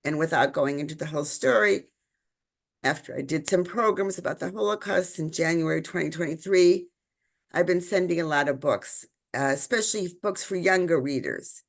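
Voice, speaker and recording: A woman's voice: deep voice, moderate pace, creaky. A woman from Illinois, hosting an interview and asking probing conversational questions. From Illinois, United States. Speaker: podcast host.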